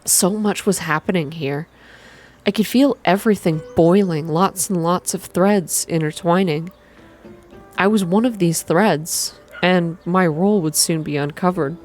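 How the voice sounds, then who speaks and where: A woman reading a story aloud in a calm, slow, hushed ASMR style. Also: on the low side, soft background music. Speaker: reader of a visual novel for an online channel.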